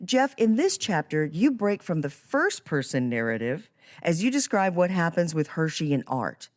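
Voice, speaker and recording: A woman's voice: fairly low pitch, very animated, moderate pace. A woman hosting a podcast, presenting and asking questions in a scripted interview style. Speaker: podcast host.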